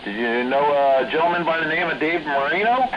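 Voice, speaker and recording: A middle-aged man in casual chat over CB radio, speaking in halting, hesitant phrases. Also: fairly high pitch, lively intonation, dull audio. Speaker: CB radio operator. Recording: noisy CB radio transmission.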